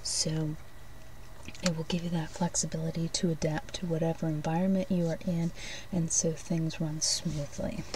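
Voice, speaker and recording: A woman doing an ASMR role play as a shopkeeper, speaking softly and gently, often breathy and near a whisper, in unhurried short phrases. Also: sits low, little pitch movement, recorded from a distance, slight background noise, rough recording. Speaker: ASMR host playing a shopkeeper giving card readings.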